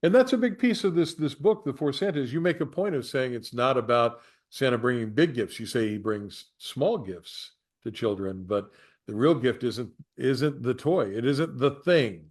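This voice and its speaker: A man hosting an interview, upbeat and steering the talk with questions and quick summaries. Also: mid-range voice, lively intonation.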